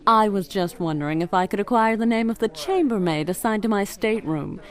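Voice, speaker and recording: A woman's voice: mid-range voice, expressive, brisk, lightly acted. A woman voicing a gracious lady passenger in an amateur radio play, sweetly polite.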